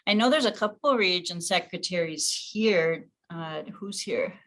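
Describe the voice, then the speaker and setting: Meeting leader: woman, lower-pitched yet bright, informal and conversational. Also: animated, measured pace. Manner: casual, conversational, thinking aloud. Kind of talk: informal explanation in a staff meeting.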